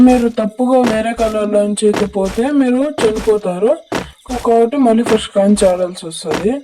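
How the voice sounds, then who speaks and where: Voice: medium pitch, background noise. A gaming creator with a Telugu accent and a voice changer effect, giving instructional, repetitive gameplay tutorial commentary. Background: music.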